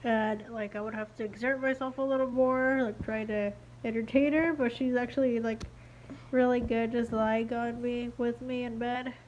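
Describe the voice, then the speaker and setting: A young woman with a slightly high voice chats into her phone at home, sounding blocked-up and a little muffled, as if she cannot move her lips freely. She rambles on in an easy video-diary way, full of "um" and "like". A faint hiss sits under the voice. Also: steady tone, normal speed, darkish tone. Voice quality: stiff-lipped, slightly muffled speech. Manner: chatty, rambling, many fillers. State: congested, stuffy-nosed after nose surgery. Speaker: beauty vlogger. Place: living room at home. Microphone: phone camera at arm's length.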